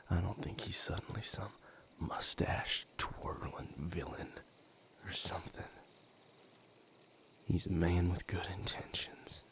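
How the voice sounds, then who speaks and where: A man playing a weary, wounded vampire healer in an intimate audio roleplay, speaking in a breathy, gravelly, halting and earnest way. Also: low voice, full character voice. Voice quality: breathy, gravelly, half-whispered. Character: weary, wounded male vampire healer leaving his house.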